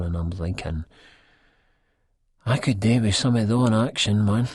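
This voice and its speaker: An actor playing a nervous, rambling, good-hearted Edinburgh drug-user with a husky Scottish voice, narrating an audiobook in dialect. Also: male voice, low-pitched, darkish tone, no room sound, studio quality, heavily acted. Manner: rambling, colloquial, self-deprecating.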